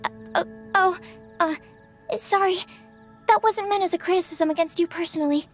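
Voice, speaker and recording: A woman's voice: very high voice, normal speed, faint music behind. A woman playing a shy, polite young female alchemist in a video game, earnest, studious and hesitant in manner. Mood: apologetic. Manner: hesitant, apologetic, earnest explaining.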